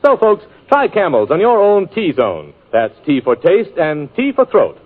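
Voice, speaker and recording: A man announcer reads a 1940s radio commercial in a smooth, polished pitchman manner. His voice is slightly high and moves around a lot. The sound is a thin, phone-like old radio broadcast. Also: wildly swooping pitch, measured pace.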